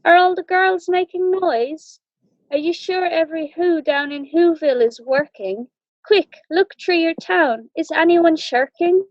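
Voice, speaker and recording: A woman's voice: extremely high pitch, a little slow, no echo. A woman voicing a kind, earnest, encouraging elephant in a storybook, on a dull home recording. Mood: urgent. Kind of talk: storybook character reading.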